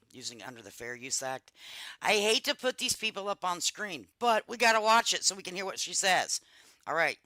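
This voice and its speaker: Congested woman streamer, bright and dry. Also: rumbling low, no room sound. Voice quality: raspier than usual. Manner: chatty, addresses viewers as friends. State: congested with a cold. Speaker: livestream host. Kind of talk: casual reaction livestream chat.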